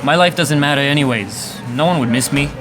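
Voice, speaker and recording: A man's voice: mid-range voice, fairly quick, over music, lightly acted. A man playing a guilt-ridden, resigned jailed killer in an amateur radio play.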